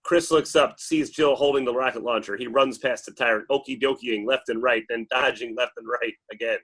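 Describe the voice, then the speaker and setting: A man's voice: mid-range voice, steady tone, fairly quick, a bit bright, breathy edge. A man reading stage directions as dramatic scripted narration at a table read.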